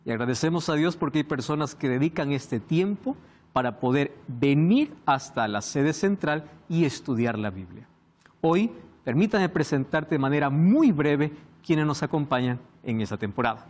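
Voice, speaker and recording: Lively-voiced male Bible study host. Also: on the high side, normal speed. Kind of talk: studio presentation.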